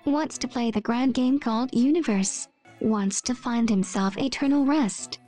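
A woman doing an expressive, theatrical scripted voice-over as she reads memes and comics. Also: on the high side, unhurried. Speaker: meme video narrator. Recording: music behind the voice.

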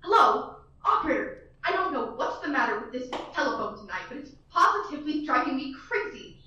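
A shrill, demanding invalid woman, played by a student actress in a school radio play, stammering and fretting. Her high voice comes from far off the mic in a very echoey room. The sound is dull and muffled. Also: very animated, brisk, bright, forceful tone, a little hiss or hum, full character voice. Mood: indignant. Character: nervous bedridden wealthy woman, shrill. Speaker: student actor.

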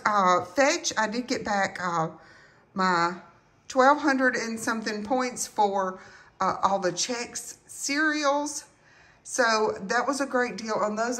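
A woman chatting casually to viewers as a couponing vlogger, in a halting, rambling way. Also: a little high, pushed and bright. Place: indoors at home.

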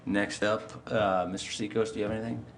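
A man's voice: on the low side, flat delivery, a little fast. An American man chairing a town council meeting, running through formal procedure off the cuff in an indoor room. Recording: noisy meeting room audio.

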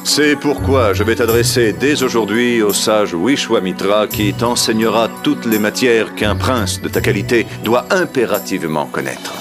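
A man's voice: fairly low pitch, moderate pace, hardly any pauses, music in the background, close to an everyday voice. A man playing a regal middle-aged king and concerned father in a French cartoon dub, speaking formally and emphatically. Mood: fatherly, instructive. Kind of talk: French animated film dub.

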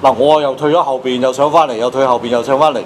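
A man's voice: medium pitch, fairly even intonation, fast talker, a little hiss or hum. A man in his fifties with a Hong Kong Cantonese accent, hosting a casual chat in a studio. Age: 50s. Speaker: host. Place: small studio with padded acoustic panels.

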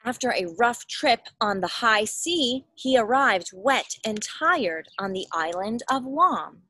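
Animated, high-voiced woman reading a storybook. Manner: expressive, explains and asks simple questions for a child. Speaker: parent reading a picture book aloud. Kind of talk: children's storybook read-aloud. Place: outdoors in a backyard. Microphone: phone or laptop at arm's length.

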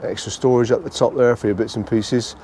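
A British man giving a casual, unscripted walkthrough tour, speaking haltingly and repeating phrases as he goes. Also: mid-range voice, fairly even intonation, warm tone, a little hiss or hum. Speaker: vlogger giving a motorhome tour.

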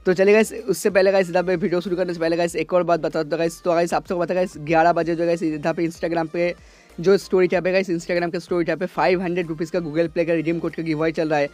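Hoarse young man rattling through a gaming update. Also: a little high, little pitch movement, fast, darkish tone, faint music behind. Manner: repeats "guys" constantly, rambling filler-heavy delivery. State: hoarse from a cold. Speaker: gaming YouTuber. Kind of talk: casual screen-recorded gaming update.

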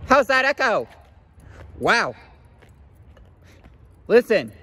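A man's voice: extremely high pitch, big pitch swings, extremely slow, pushed and bright. A man giving casual walking commentary as he explores an empty mall's grounds and loading docks, muttering amazed asides, recorded on a handheld phone. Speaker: urban explorer vlogger. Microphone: handheld phone camera.

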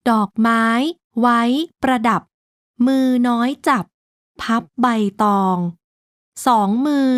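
A woman narrating a rhyming children's storybook in a slow, measured, sing-song way. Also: fairly high pitch, no room sound, studio quality.

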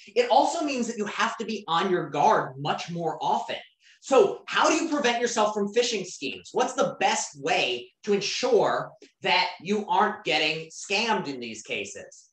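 A woman teaching an online class in a casual, conversational way, often asking the students questions. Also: medium pitch. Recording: roomy, distant from the mic.

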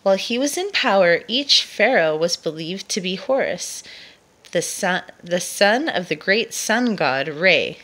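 A woman reading a children's nonfiction book aloud to a child in a measured storytelling delivery. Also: lowish voice, lively intonation, fairly bright tone.

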